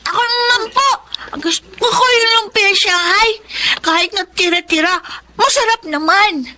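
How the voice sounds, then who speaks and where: A woman's voice: extremely high pitch, measured pace, pushed and bright, full character voice. A woman playing a poor, burn-scarred mother in a Filipino radio drama, tender and plaintive, loving and self-sacrificing. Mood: contented.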